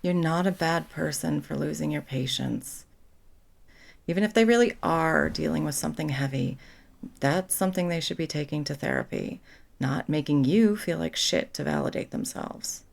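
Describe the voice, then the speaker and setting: A caring young female best friend, acted by a woman in an audio roleplay, with a low-pitched voice and plenty of vocal fry. She talks casually and frankly, protective and supportive of the person she is comforting. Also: lively intonation, moderate pace, full character voice. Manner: casual, supportive, blunt, sweary. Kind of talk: ASMR comfort roleplay, conversational.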